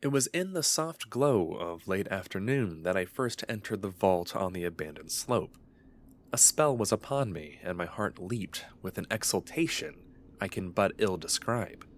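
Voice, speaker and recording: A deep male voice of an actor embodies a brooding young man gripped by morbid obsession. He narrates in the first person with solemn, ornate, old-fashioned diction, in the manner of a gothic horror radio play. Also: animated, moderate pace, a little hiss or hum. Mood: morbid fascination. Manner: solemn, formal, archaic and literary diction. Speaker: narrator and lead character.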